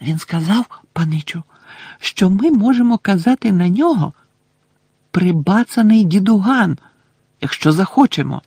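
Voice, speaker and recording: A reader voicing a small, anxious, servile male house-elf who talks about himself in the third person, in a high piping voice. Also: animated, a little slow, mellow, breathy edge, dry recording, studio quality. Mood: eager. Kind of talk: audiobook character voice.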